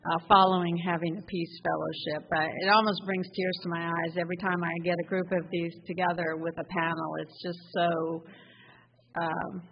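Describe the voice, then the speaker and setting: Flat-voiced woman host in an echoing hall. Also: on the low side, vocal fry. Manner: halting, emotional, filler-heavy. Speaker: event host. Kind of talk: introducing the next speaker. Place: conference hall. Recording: dull sound with long hall reverb.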